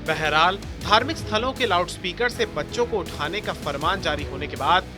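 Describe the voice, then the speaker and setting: A man's voice: high-pitched, expressive, moderate pace. A man reading a scripted news report as the narrator. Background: music bed.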